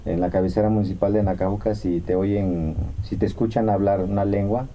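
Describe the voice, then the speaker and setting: A man's voice: on the low side, fairly even intonation, fairly quick, dark tone, a little hiss or hum. A man, a radio guest, speaking plainly and hesitantly, often restarting his phrases. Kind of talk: radio interview answer.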